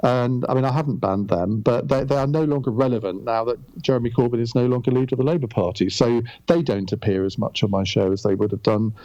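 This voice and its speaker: A calm middle-aged Englishman with a mellow, dark tone, speaking in measured phrases full of ums and restarts. The sound is dry and a little dull, as if close to a modest mic. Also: mid-range voice, no room sound. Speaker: guest, radio broadcaster. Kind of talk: reflective interview talk.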